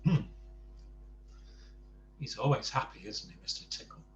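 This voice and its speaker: A man's voice: mid-range voice, lively intonation, measured pace, echoey room, a little hiss or hum. A man reading a children's bedtime story aloud, adding chatty asides to the listener.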